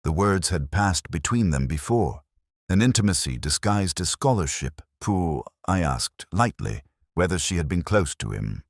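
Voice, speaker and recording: A man reading scripted first-person mystery narration in a measured, literary, brooding manner. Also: deep voice, sing-song, no room sound, clean studio sound.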